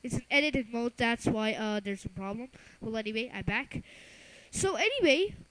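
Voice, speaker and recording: A presenter giving an informal narrated software lesson, often stumbling and correcting themselves along the way. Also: medium pitch, lively intonation, dry recording, rough recording. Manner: halting, with stumbles and self-corrections. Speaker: tutorial presenter.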